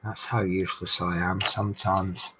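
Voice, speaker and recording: A man's voice: low-pitched, level tone, moderate pace, noisy background. A man with a Norfolk accent, chatting casually and rambling with lots of ums while doing a craft at home. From England. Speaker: vlogger.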